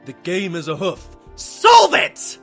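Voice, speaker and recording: A man acts an earnest doctor stallion in an audio drama. His high, bright voice moves expressively and emphatically, that of a caring companion to a detective, with faint music behind. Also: wildly swooping pitch, slow, creaky, full character voice. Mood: indignant, pleading. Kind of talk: scripted audio drama acting and narration.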